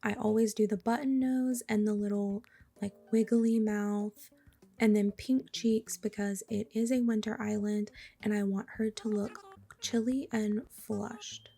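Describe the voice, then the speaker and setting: A young woman talks through a cozy game in a relaxed, mellow voice. Her tone is raspy and a bit hoarse because she is under the weather, with a gravelly fry at phrase ends. She chats on softly and now and then drops to a whisper. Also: medium pitch, a little slow. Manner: soft-spoken, chatty, trails into whispers. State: slightly hoarse from a cold. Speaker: gaming vlogger. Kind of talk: casual gameplay commentary.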